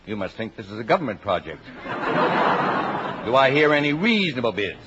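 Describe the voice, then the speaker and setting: An actor playing a pompous, brisk middle-aged American father in a radio family comedy, speaking in a formal, commanding, theatrical way. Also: mid-range voice, very animated, natural voice. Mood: brisk, officious. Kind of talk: 1950s radio comedy acting. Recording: muffled, noisy old radio recording.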